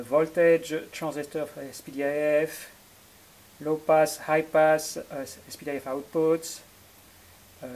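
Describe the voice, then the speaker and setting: A man narrating a technical electronics demo as its presenter, in a halting, matter-of-fact way. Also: fairly high pitch, a little mellow, low-quality recording.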